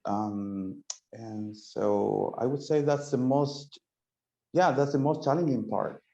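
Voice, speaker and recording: A man's voice: mid-range voice, slow pace, gravelly, warm tone, echoey room, muffled recording. A man with a Latin American Spanish accent, a podcast guest explaining in casual talk, with many fillers and restarts. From South America, Peru and Argentina. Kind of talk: casual interview.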